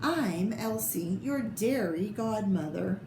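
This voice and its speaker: A woman's voice: medium pitch, animated, slow. A woman voicing a bossy, showy cow godmother from a storybook, with theatrical declarations. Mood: grand. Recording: echoey room with clear background noise.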